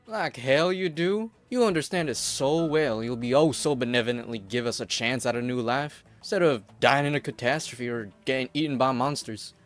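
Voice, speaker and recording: A mid-pitched man playing a defiant outcast, bitter and blunt. His delivery is sarcastic and colloquial game-dub acting, with faint music underneath. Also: normal speed, hardly any pauses, close to an everyday voice. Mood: scornful.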